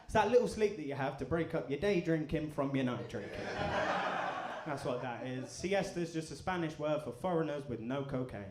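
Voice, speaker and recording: Stand-up comic in an echoey club. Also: male voice, a little high, fairly quick, very noisy. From England. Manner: deadpan, crude punchlines with crowd banter. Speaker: stand-up comedian. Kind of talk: stand-up comedy set. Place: comedy club in front of a live audience. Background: audience laughter.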